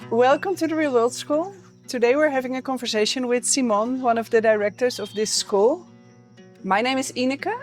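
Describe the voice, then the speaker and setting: A woman's voice: high voice, normal speed, over music. A woman from the Netherlands with a Dutch accent, interviewing a school director in a friendly way with some hesitations. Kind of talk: conversational interview.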